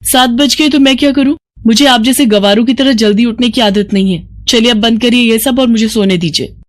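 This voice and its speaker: A narrator voicing a bratty, short-tempered young city daughter-in-law who scolds indignantly in an animated moral story. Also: mid-range voice, a little fast, pitched above the performer's own voice, lightly acted. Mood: indignant, scolding.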